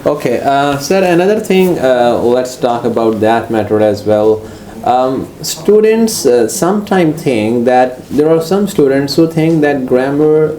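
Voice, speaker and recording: Pakistani man, Urdu native, mid-pitched and mellow, hesitant discussion voice with faint background hum. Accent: Pakistani English. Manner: hesitant, with frequent "uh" fillers, addresses the other as sir. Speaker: co-host and former student. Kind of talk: discussion with a teacher. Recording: roomy echo, faint ambience.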